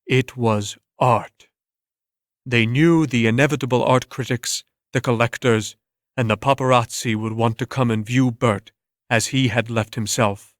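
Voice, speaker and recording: A man reading a scripted story as narrator, in a measured way with dramatic pauses. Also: medium pitch, steady tone, no echo, studio quality.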